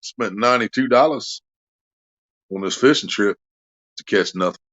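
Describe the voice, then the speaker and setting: A man's voice: on the low side, lively intonation, normal speed, no echo, dull audio. A man, chatting crudely at home and joking around. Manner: crude joking, bursts into loud off-key singing. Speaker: vlogger. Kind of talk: casual chat at home.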